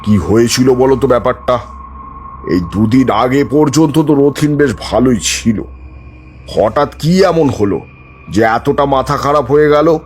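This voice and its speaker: A man's voice: medium pitch, measured pace, faint music behind, lightly acted. A man playing a skeptical, dismissive villager in a cartoon, talking in a colloquial, questioning way. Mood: curious. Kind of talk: cartoon voice acting.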